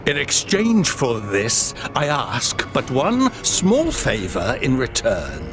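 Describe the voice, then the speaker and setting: A man voicing a scheming male necromancer who bargains with deferential flattery in a game cutscene. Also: medium pitch, over music. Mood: bargaining. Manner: oily, deferential, slow pleading. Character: scheming, groveling male necromancer seeking immortality.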